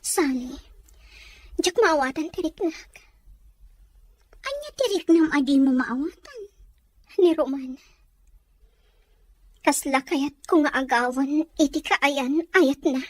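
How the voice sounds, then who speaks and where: A woman's voice: high-pitched, expressive, takes it slowly, long pauses, no echo. A woman acting a wistful young woman confiding love troubles in a radio drama, with a Filipino accent.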